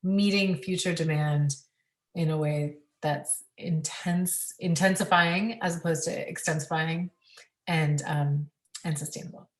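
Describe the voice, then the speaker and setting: A woman speaking on a panel about food systems, thoughtful and full of pauses and ums. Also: deep voice, fairly even intonation, roomy sound, distant mic. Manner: thoughtful, many ums and restarts. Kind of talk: webinar panel talk.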